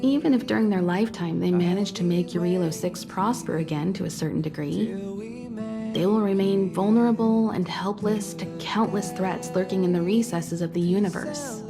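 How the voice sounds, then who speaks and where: An actress playing a composed, kindly mentor of space travellers in a video game, explaining things in a poised, reassuring way. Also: female voice, medium pitch, moderate pace, gravelly, close to an everyday voice. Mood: calm, explaining. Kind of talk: video game voice acting, measured dialogue. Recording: background music under studio voice.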